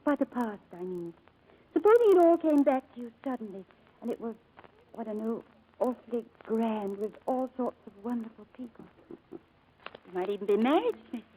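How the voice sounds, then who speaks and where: A woman's voice: on the high side, lively intonation, normal speed, dark tone, breathy edge, poor audio. An actress playing a devoted, spirited young woman in a 1940s radio drama, speaking in an earnest, pleading and effusive way. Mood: bright, excited. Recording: old radio broadcast with music behind.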